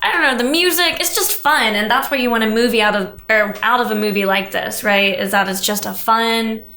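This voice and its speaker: A woman's voice: on the high side, fairly quick, pushed and bright. A woman on a podcast panel, chatting casually as she reviews a movie. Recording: echoey, roomy sound.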